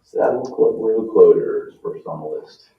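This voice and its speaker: Male meeting chair, very low voice, procedural delivery, distant and echoey with some background noise. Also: expressive, moderate pace, creaky. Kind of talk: brisk formal meeting procedure. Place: town council meeting room. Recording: echoey room, distant mic, dull, noisy.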